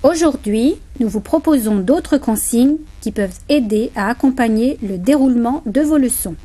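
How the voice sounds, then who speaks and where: A woman narrating a lesson and announcing its section titles in a brisk way. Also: a little high, expressive, warm tone, faint music behind. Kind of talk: scripted narration.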